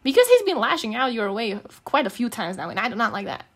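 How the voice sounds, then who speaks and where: A woman's voice: fairly high pitch, big pitch swings, fast, fairly bright tone, husky. A young woman speaking in a casual, intimate girlfriend roleplay, teasing, slangy and affectionate. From Eastern Europe. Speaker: ASMR roleplay performer playing a girlfriend.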